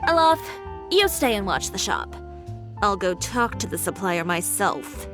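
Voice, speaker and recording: A woman's voice: medium pitch, sing-song, a little slow, music in the background. A woman playing a blunt, self-assured young fashion designer in a video game, composed and direct. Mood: businesslike.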